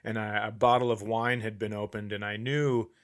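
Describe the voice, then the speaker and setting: A man's voice: lowish voice, flat delivery, moderate pace. A man co-hosting a comedy podcast, joking casually and playfully interjecting.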